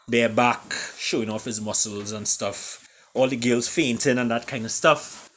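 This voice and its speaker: A man reading and retelling a fable as its author, with dramatic pauses and emphatic delivery. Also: medium pitch, pushed and bright. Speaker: author reading his own book.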